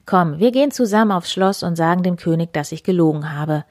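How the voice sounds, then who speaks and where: A woman's voice: fairly low pitch, expressive, fairly quick, darkish tone, no room sound, studio quality, close to an everyday voice. A woman voicing a boastful, fretting miller in a fairy tale.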